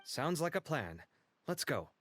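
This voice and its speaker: An actor playing an expressive, earnest young man in a video game, with an emphatic conversational delivery. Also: a little high, unhurried, a little hiss or hum. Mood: troubled, determined.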